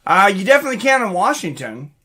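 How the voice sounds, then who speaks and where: A man's voice: high voice, big pitch swings, fast talker, sharp tone. A man hosting a live stream, chatting casually and reading out comments from viewers.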